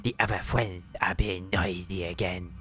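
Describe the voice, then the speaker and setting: Man doing a hushed, needy stern tough guy. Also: low-pitched, steady tone, normal speed, soft background music, voice pushed down. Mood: deadpan, needy.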